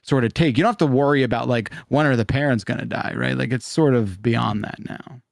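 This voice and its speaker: A man's voice: mid-range voice, brisk, vocal fry, dry recording, studio quality. A man in his forties chatting casually as a podcast panelist, explaining things to his friends. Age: 40s.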